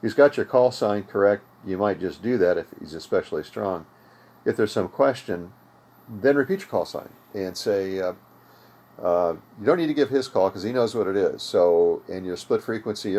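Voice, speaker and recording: Older american guy, deep voice, explaining stuff casually like a hobby tutorial host. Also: normal speed, darkish tone. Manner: conversational, explanatory. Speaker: host of an amateur radio tutorial series. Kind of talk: informal unscripted tutorial talk. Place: home radio room.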